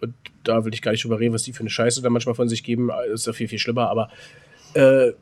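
A man's voice: medium pitch, level tone, fast talker, a little mellow. A middle-aged man co-hosting a podcast in casual, bantering chat, talking in a blunt, colloquial way with some crude slang. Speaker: podcast co-host.

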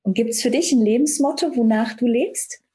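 A woman's voice: fairly high pitch, normal speed, warm tone. A woman hosting a casual interview, supportive and agreeing, asking follow-up questions. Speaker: podcast host.

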